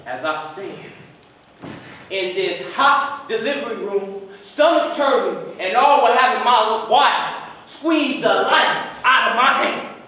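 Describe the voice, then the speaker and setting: A man performing a theatrical stage monologue as an emotional young father recalling a birth, with deliberate dramatic pauses. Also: very high-pitched, sharp tone, pitched above the performer's own voice, exaggerated voice. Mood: intense, strained. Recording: very echoey room, distant mic, faint noise.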